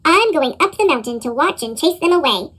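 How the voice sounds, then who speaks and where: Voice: high-pitched, expressive, brisk, pushed and bright. A storyteller voicing a kindly, protective father in a children's storybook. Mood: resolute.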